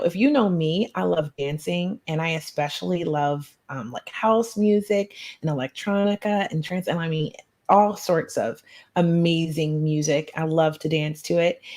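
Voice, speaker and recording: A woman co-hosting a talk podcast, effusive and chatty with frequent ums. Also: lowish voice. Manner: warm, effusive, uses fillers like um. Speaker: podcast co-host. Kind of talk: casual chat.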